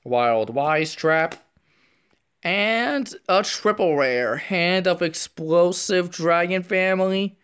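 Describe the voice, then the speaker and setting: A man reads card names aloud in a soft, almost whispered voice, slow and unhurried. His tone is smooth and clear. He talks casually to his viewers while he opens packs at a table, filmed close on a phone. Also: high-pitched. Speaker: card unboxing youtuber. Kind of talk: casual card pack opening commentary. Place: indoors at a table, hands only on camera.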